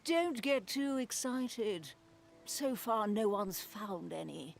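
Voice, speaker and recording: Measured, slow-talking female captain. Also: medium pitch, expressive, vocal fry, slight background noise. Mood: dry, steady. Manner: instructive, matter-of-fact. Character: composed, practical female military captain. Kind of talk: video game cutscene acting.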